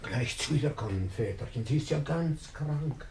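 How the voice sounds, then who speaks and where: An actor playing a fretful, devoted old Russian manservant in a German radio play, deferential and lamenting. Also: male voice, medium pitch, lively intonation, normal speed, creaky, a little mellow, slight background noise. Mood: anxious, lamenting.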